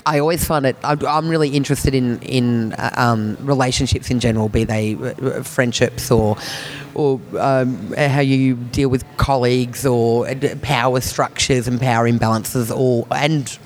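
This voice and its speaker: A man who is a comedian, talking on a podcast in a halting way, with many restarts and frequent laughs. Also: mid-range voice, fairly even intonation. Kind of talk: podcast conversation.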